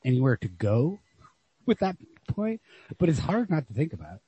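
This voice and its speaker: A man co-hosting a podcast, talking casually and analytically about literature in a wry, husky voice. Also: medium pitch, huge pitch swings, moderate pace, mellow, dry recording. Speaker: podcast co-host. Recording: lo-fi, dry close recording.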